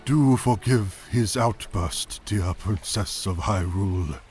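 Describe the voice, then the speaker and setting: A solemn, kindly old king of the fish-folk, played by a man, speaks with regal weight. His lowish voice moves slowly and deliberately, with soft music underneath, as in a fantasy audio drama. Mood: gentle, sorrowful. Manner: stately, measured, regal. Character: benevolent, solemn aging fish-folk king and grieving father.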